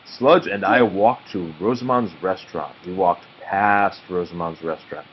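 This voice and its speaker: A man narrating a children's story aloud expressively and deliberately, with dramatic pauses. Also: deep voice. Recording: muffled audio with faint background noise.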